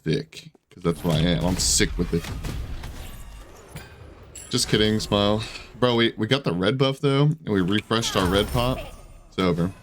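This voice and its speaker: A man with a fairly low voice talks casually through his gameplay. He sounds congested and rambles in slangy, self-deprecating gamer talk. There is noticeable noise and clicking in the recording. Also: moderate pace, pauses often. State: congested, voice giving out. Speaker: gaming YouTuber.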